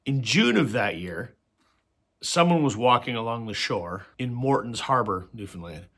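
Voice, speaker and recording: A man hosting a vinyl record channel, telling stories conversationally with plenty of fillers, a little hoarse from a cold. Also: mid-range voice, expressive, moderate pace, fairly bright tone. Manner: conversational storytelling with frequent fillers. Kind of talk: casual talk to camera.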